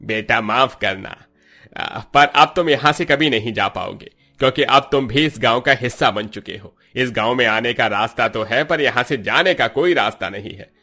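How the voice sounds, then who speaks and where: A man's voice: medium pitch, moderate pace, pushed and bright, soft background music. Emphatic older village headman played by a man. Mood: apologetic. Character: older village headman, firm, paternal, addressing folk bluntly. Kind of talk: Hindi cartoon story voice acting.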